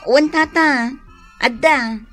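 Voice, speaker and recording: An actress with an Ilocano accent playing a dutiful, plain-spoken farmer's wife in a radio comedy drama. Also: female voice, high voice, takes it slowly, fairly bright tone, soft background music. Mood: obliging.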